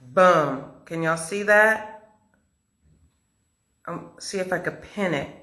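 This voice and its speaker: A woman's voice: lowish voice, slow pace, bright, forceful tone. A woman hosting a casual live-stream chat interview over a video call, talking in an effusive, gushing way. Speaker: host of a live chat interview, audio drama maker.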